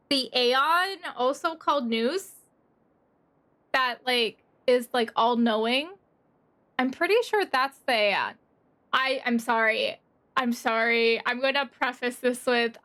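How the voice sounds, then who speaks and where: A woman giving casual, expressive playthrough commentary as a game streamer, exclaiming and reading dialogue aloud. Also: fairly high pitch. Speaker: streamer reacting to a game story. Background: game music.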